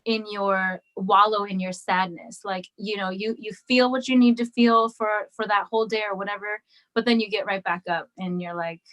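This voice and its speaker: A woman's voice: mid-range voice, steady tone, normal speed, a bit bright, low-quality recording. A woman singer as a guest, talking casually in an interview with frequent ums and restarts. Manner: hesitant, many ums and restarts.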